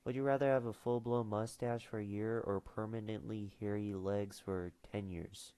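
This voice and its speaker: A man's voice: fairly low pitch, steady tone, normal speed, mellow, clean tone, no room sound. A teenage boy streaming casually, mumbling and trailing off with fillers as he reads questions aloud. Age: teens. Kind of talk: casual livestream chat.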